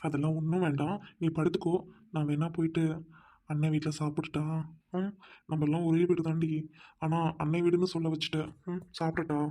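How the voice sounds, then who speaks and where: A man playing a gentle, apologetic young husband. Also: fairly high pitch, flat delivery, fairly quick, lightly acted. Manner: gentle, soothing, playful.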